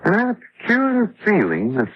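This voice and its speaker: An actor playing a spooked, husky-voiced camp worker in a radio horror drama. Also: male voice, high voice, wildly swooping pitch, quick pace, exaggerated voice. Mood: uneasy. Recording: dull lo-fi radio recording.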